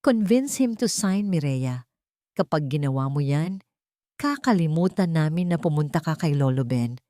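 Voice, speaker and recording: A woman's voice: sits low, expressive, moderate pace, warm tone, no room sound. A woman narrator plays a coercive, scheming husband in an audio drama. He speaks with controlled, coaxing pressure, close and dry.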